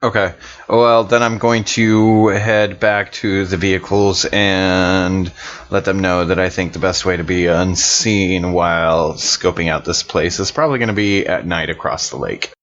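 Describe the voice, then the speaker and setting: Low-voiced man, slightly muffled, gaming casually. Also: steady tone, a little slow, a bit bright. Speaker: tabletop game player. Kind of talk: casual chat during role-play. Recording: slightly dull, lo-fi microphone sound.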